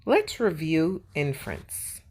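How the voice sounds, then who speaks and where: Woman, children's read-aloud host, lower-pitched, unhurried, expressive, teacherly, simple phrasing for young kids. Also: slightly rough. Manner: expressive, addressing children directly with questions and praise.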